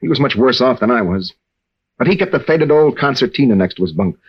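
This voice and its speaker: A haunted young American navy veteran in a vintage radio drama. His voice is husky and swings widely in pitch, with halting, earnest phrasing. The recording is dull and old. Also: lively intonation. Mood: haunted, confessional. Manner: halting, earnest, with stammered restarts. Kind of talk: 1940s-50s radio drama acting.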